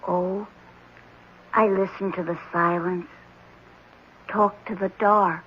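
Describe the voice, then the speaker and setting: An actress voicing a strange, quiet, dreamy orphan boy who answers simply in a 1970s radio drama. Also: fairly low pitch, fairly even intonation, slow pace. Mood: detached. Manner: simple, detached, answers briefly. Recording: muffled, noisy vintage radio recording.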